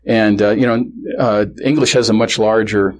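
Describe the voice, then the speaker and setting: A man being interviewed, recalling childhood experiences candidly, halting with many fillers and restarts. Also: on the low side, fairly even intonation, slight background noise. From grew up bilingual in a Norwegian family. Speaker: interview guest. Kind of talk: candid personal recollection.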